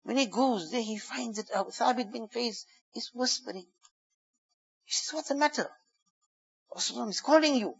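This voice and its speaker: A man preaching a sermon, telling stories, who swings between loud emphatic phrases and hushed quiet ones and quickly chants Arabic verses. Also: extremely high pitch, gravelly, husky, highs cut off. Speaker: Islamic preacher. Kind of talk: sermon storytelling.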